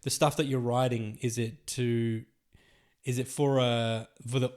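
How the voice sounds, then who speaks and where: A man's voice: mid-range voice, flat delivery, a little mellow. A man hosting a comedy podcast, chatting casually in a rambling way and agreeing often with the guest. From Australia. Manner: chatty, rambling, quick affirmations, laughs easily. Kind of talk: casual chat.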